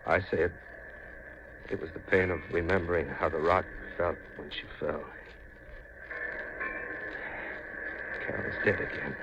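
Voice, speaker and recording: Haunted seafaring man, low-voiced, brooding and folksy; 1950s radio drama, noisy recording. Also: steady tone, moderate pace, dull audio. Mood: haunted, grim.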